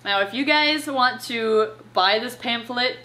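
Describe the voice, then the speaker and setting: A young woman chatting casually and reading aloud as a reptile channel presenter, with an animated, playfully sarcastic manner. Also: a little high, a little slow. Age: young adult. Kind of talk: casual chat with read-aloud passages and commentary. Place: living room couch at home, indoors.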